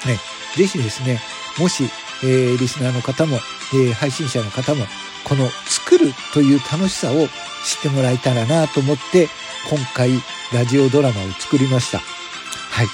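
A man's voice: medium pitch, moderate pace, music in the background. A man hosting a podcast, making a casual announcement to listeners in polite, formal phrasing. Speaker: podcast host.

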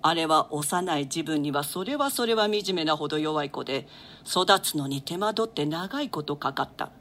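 A woman's voice: low-pitched, lively intonation, moderate pace, a bit bright. A woman playing an aged, dignified royal grandmother in a classical stage play, speaking in theatrical, archaic old-woman diction. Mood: doting, curious. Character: aged royal grandmother, dignified, doting, world-weary dowager duchess.

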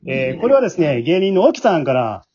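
A man hosts a relaxed group podcast over a slightly dull remote home recording. He talks quickly with a very animated pitch, slipping from polite announcements into chatty asides. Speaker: host, leader of an amateur drama group. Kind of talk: hosting a casual podcast round-table.

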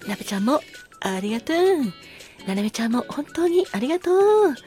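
A woman hosting a casual solo talk show, speaking politely with effusive gratitude and soft apologies. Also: fairly high pitch, expressive, brisk, faint music behind. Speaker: podcast host.

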